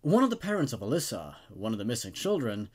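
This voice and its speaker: Earnest police sheriff, read by a man, lively pitch. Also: quick pace, breathy edge. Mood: serious. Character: troubled male police sheriff investigating missing children.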